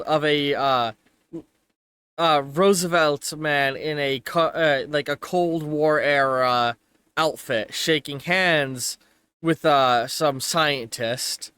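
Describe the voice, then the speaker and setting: A man narrating a tabletop game as game master, improvising casually and haltingly as he searches for words. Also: fairly high pitch, fairly bright tone, smooth, dry recording.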